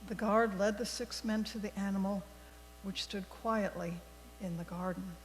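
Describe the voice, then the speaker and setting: A woman reading a story aloud to a congregation in a deliberate, expressive storybook manner. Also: mid-range voice. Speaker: worship leader telling a story for all ages. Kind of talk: read-aloud storytelling in a church service. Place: large indoor congregation space. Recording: echoey hall with mains hum.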